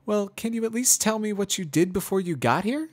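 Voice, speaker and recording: A man puts on a young female pony student for a dramatic story. The voice is pitched far up, prim and earnest. She speaks in a questioning way with studied politeness. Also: lively intonation, a little fast. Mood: strained. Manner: prim, questioning, forced friendliness. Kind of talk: dramatic reading of fan fiction.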